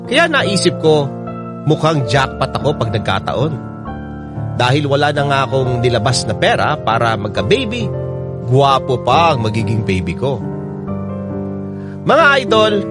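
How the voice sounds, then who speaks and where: A man's voice: medium pitch, natural voice. A man with a Filipino accent narrating a radio drama in the first person, confiding expressively in the listeners. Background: prominent music.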